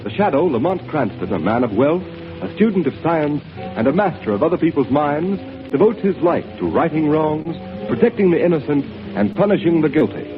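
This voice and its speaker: A man's voice: a little high, very animated, fairly quick, music in the background. A man announcing and reading an ad in an emphatic style on a 1930s radio show, heard on a tinny old recording. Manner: emphatic, salesy.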